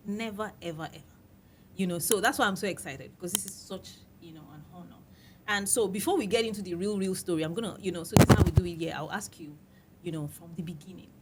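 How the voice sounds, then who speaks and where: A woman's voice: on the low side, fast, heavy background noise. A woman coach hosting an interview, enthusiastic and affirming, often murmuring agreement. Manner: enthusiastic, affirming with frequent "mm" and "yes". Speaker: host and coach.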